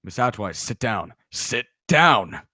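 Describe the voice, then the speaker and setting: A man reading the part of a huge paladin knight in a screenplay table read, gruff, terse and commanding. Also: lowish voice, sing-song, takes it slowly, pushed and bright, dry recording, studio quality, heavily acted. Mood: angry, commanding.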